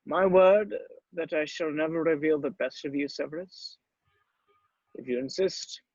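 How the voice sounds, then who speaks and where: A man acts out a grave old wizard headmaster at a script reading. He is shrewd and measured, with a somewhat high male voice. The sound is close and dry, slightly muffled. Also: a little mellow, no echo. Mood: calm, wry. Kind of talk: screenplay table read acting.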